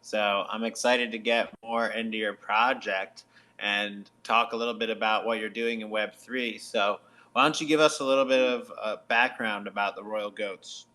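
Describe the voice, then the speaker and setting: Bright-voiced male show host. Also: lowish voice, fairly even intonation, moderate pace, low-quality recording. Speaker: radio show and podcast host. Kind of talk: upbeat promotional interview hosting.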